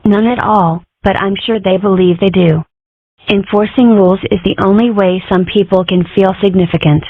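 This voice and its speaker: A woman's voice: medium pitch, fairly even intonation, normal speed, no echo, lightly acted. A woman voicing a sardonic young fox girl in a comic dub, with a dry, wry delivery. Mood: dry, curious. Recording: muffled narrow-band lo-fi audio.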